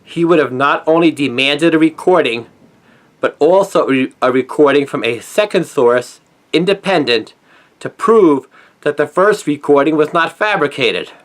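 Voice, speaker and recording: A middle-aged man delivering a scripted opinion monologue in an earnest, emphatic manner with deliberate phrasing. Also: fairly high pitch, lively intonation. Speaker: conspiracy commentator, former newspaper journalist.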